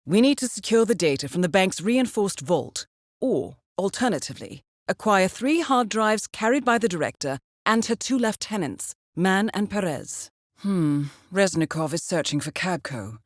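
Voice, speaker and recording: An actress playing a composed, articulate intelligence handler in a video game, briefing an assassin in a measured, precise, formal way. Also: female voice, mid-range voice, very animated, dry recording, studio quality. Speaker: mission handler.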